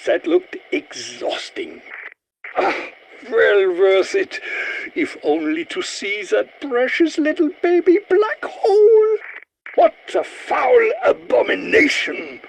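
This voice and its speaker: Fussy, theatrical scientist, swooping pitch. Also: male voice, sing-song, slow, gravelly, exaggerated voice, low-quality recording. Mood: alarmed, flustered. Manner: precise, pompous, theatrical diction. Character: eccentric, fussy, sardonic male scientist, theatrical and squeamish. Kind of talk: video game character voice lines.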